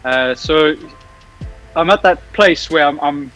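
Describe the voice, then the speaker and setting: Higher-voiced southern African man, UK-tinged accent. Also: slow, fairly bright tone, faint music behind. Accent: southern African softened by years in the UK. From southern Africa, grew up in Zimbabwe and South Africa. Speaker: guest, car YouTuber. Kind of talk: casual interview chat.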